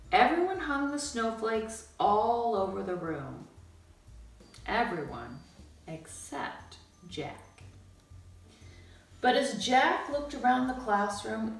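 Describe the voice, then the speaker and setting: Roomy, very animated woman reading a storybook. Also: a little high. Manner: expressive, dramatic pauses. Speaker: storybook reader. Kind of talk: animated children's read-aloud narration. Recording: roomy, distant mic, music bed.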